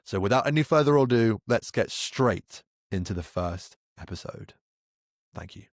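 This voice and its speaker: A man's voice: fairly low pitch, expressive, normal speed, no room sound, clean studio sound. A man hosting a podcast, opening with a scripted introduction and then chatting casually with a guest. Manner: upbeat, promotional, addresses listeners directly. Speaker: podcast host.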